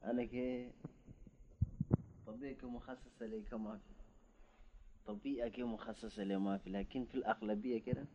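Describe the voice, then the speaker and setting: A man answering questions as a guest in a casual conversation, in plain measured replies. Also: mid-range voice, steady tone. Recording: dull lo-fi recording with faint noise.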